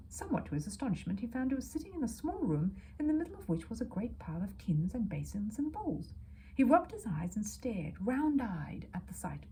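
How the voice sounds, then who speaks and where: Animated, mellow woman reading a children's story. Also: mid-range voice, slight background noise, rough recording. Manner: animated, dramatic, with hushed asides. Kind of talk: expressive children's audiobook narration.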